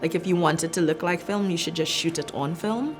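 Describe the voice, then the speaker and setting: A woman's voice: on the low side, fairly quick. A middle-aged woman from Trinidad and Tobago, a filmmaker reflecting on her work in a thoughtful interview with many fillers and restarts. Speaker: filmmaker, arts director.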